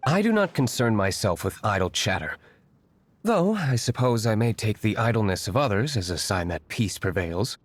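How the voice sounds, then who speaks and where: A brooding, aloof young nobleman who keeps his words formal and curt. His voice sits a little low, with a dry, serious edge. Game character dialogue. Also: sing-song, moderate pace, slight background noise.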